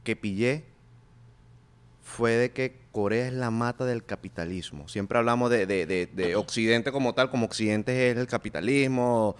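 A young man chatting as a podcast guest, easygoing and slangy, often trailing into a low mutter. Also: moderate pace, clean tone. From Korea. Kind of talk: casual chat.

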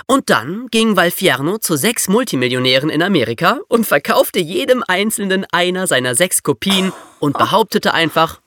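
An eager, chatty boy tells stories in a scripted podcast. His voice is high and very lively, with a bright, forward edge and a dry, close sound. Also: normal speed, few pauses, no echo. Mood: excited storytelling. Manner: eager, animated storyteller. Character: excitable, chatty boy sharing investigated theories. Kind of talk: scripted podcast banter and storytelling.

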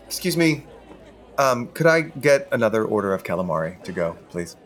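A man's voice: fairly low pitch, big pitch swings, normal speed, a bit bright, some chatter behind. An actor playing an exasperated, emotional divorced man, emphatic and theatrically wounded, in comic audio drama. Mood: polite. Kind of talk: comedic audio drama dialogue.

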